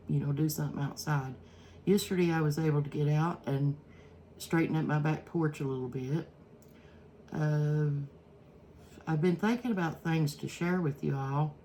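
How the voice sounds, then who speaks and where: An older woman with an Eastern Kentucky accent chats casually and haltingly to viewers in folksy, plain words, recorded at home on a phone. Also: low voice, dark tone. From Eastern Kentucky, USA. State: feeling weak and unwell. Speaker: home cooking vlogger. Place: at home, indoors in a kitchen. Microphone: iPhone, no separate microphone. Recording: faint background noise, phone built-in microphone.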